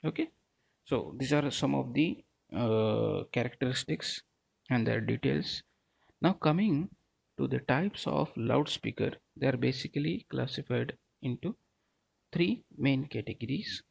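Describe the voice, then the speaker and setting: Gravelly male lecturer with heavy creak. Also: medium pitch, animated, measured pace, dry recording. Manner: didactic, punctuates points with check-in words. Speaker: teacher, lecturer. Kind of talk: lecture explaining technical points.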